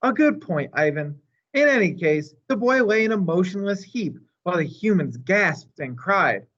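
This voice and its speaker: A man voicing a gentle, wise old female elephant who tells stories in a measured, thoughtful way. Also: sits high, lively intonation, highs cut off, voice pushed up. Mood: earnest storytelling.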